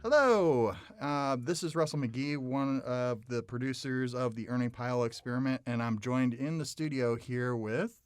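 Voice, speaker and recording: A man's voice: mid-range voice, moderate pace, few pauses, smooth, faint music behind. A man hosting a studio interview, putting questions to his guests. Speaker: interviewer, show producer. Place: radio studio.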